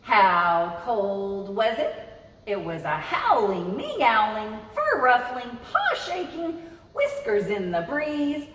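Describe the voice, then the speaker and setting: Slow, highly animated woman reading to children. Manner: expressive, addresses young listeners directly. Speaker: children's librarian, storytime host. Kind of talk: children's read-aloud storytelling. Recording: roomy, echoey, distant mic with noise.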